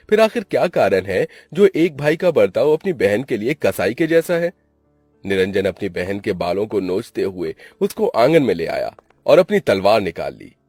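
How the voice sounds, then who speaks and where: A man's voice: fairly low pitch, a little mellow, breathy edge, no room sound. A man narrating a scripted story in a dramatic style.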